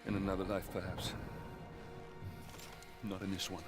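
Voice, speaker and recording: An actor playing a fierce Roman army commander in a video game, with a commanding, forceful manner. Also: male voice, sits low, completely flat delivery, normal speed, lightly acted. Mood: somber. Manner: commanding, forceful, oath-swearing intensity. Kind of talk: dramatic video game acting. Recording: loud music over the voice.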